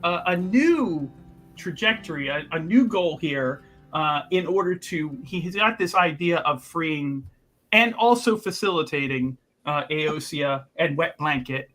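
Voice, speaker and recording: A man's voice: on the high side, lively intonation, a little slow, a bit bright, soft background music. A man playing a tabletop game, casually recapping what his character has been up to, often stopping to search for words and restarting.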